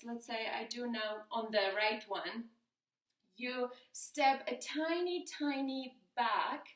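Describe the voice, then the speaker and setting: A woman's voice: on the high side, rough recording. A woman, a model and coach giving posing tips live on a phone. She talks in a chatty, instructive way with many fillers and nervous little laughs. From Lithuania. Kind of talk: live-stream posing tutorial. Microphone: phone camera.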